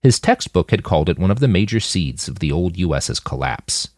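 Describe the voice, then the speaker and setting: A man's voice: deep voice, big pitch swings, fairly quick, darkish tone, no room sound, studio quality. A man reading scripted fiction as an audiobook narrator for a podcast.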